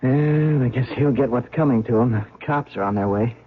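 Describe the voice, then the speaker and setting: An actor playing a plain-spoken museum guard in a radio drama, casual and matter-of-fact, on a muffled old recording. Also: male voice, mid-range voice, a little fast, a little hiss or hum, lightly acted. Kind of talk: 1970s radio drama acting.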